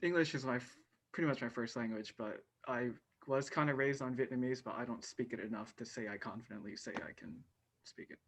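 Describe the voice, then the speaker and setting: A young male student answering a question in an online class, measured and thoughtful with a few fillers. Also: mid-range voice. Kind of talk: class discussion.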